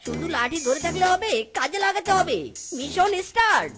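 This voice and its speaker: A woman's voice: very high voice, animated, moderate pace. A woman voicing a scheming, whiny male fox in a comic cartoon, dramatic and full of exclamations. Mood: outraged. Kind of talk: exaggerated comic cartoon dubbing. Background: music.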